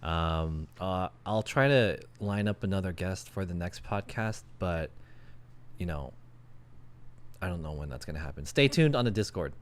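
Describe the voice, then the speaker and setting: Deep, smooth-voiced man in his thirties. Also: animated, normal speed. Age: 30s. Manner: loose, rambling with fillers. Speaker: podcast host and streamer. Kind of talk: casual podcast chat.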